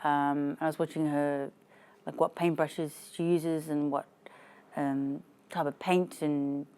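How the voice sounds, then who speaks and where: A woman's voice: sits low, steady tone, takes it slowly, a little mellow, clear, resonant tone. A woman from Israel, a resident artist, chatting hesitantly with many fillers in a documentary interview.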